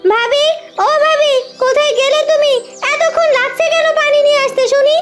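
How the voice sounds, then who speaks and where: An extremely high-pitched, nagging young troublemaker in a cartoon style. She whines and demands with spite in every line. Loud music plays under the voice. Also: steady tone, normal speed. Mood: whiny, demanding. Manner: whiny, nagging. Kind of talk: exaggerated cartoon voice acting.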